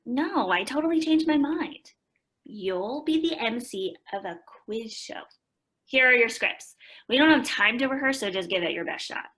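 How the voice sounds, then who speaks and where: A woman's voice: fairly high pitch, lively intonation, moderate pace, a bit bright, breathy edge. An actress playing a spirited young woman who narrates family life in a radio play. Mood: brisk.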